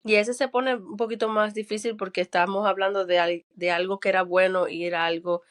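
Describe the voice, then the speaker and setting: A woman's voice: medium pitch, fairly even intonation, a little fast. A woman co-hosting a casual podcast, asking questions with loose restarts. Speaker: podcast co-host.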